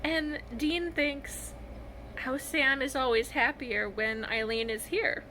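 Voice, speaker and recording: A woman talking casually in a reaction vlog, with a halting, expressive fangirl delivery and dramatic pauses. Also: high-pitched, slight background noise, rough recording. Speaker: vlogger sharing reactions to fan fiction.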